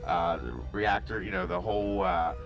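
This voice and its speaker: Rambling game master with soft music. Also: male voice, low voice, moderate pace. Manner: rambling, thinking aloud, many fillers. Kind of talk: narrating a tabletop game.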